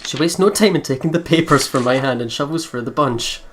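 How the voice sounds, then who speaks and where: A woman with a low voice gives casual, reactive commentary on a game. She is loose and informal, sometimes reading text aloud, over faint background noise. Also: fairly quick. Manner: giggly, reactive, sweary. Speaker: let's play host. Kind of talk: casual gaming commentary with read-aloud narration.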